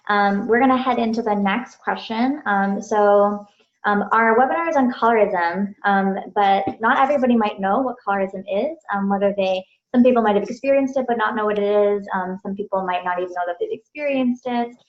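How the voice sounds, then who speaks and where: A woman's voice: mid-range voice, brisk. Woman moderator in an echoey, muffled room. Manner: filler-heavy, explanatory. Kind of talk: webinar moderation. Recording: echoey, muffled call audio.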